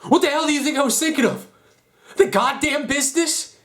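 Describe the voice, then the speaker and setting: Stage drama: male actor as young war veteran, higher-pitched, idealistic, guilt-ridden, intense, emphatic, emotional. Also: lively intonation, measured pace. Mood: outraged, pleading. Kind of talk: dramatic stage monologue audition.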